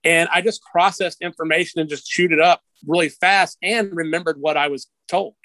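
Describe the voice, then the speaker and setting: A middle-aged man with a fairly high voice, recorded close and dry. He talks casually and candidly, like a guest sharing personal anecdotes, with a self-deprecating streak. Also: steady tone, moderate pace, sharp tone, dry recording. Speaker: interview guest. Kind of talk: casual conversational storytelling.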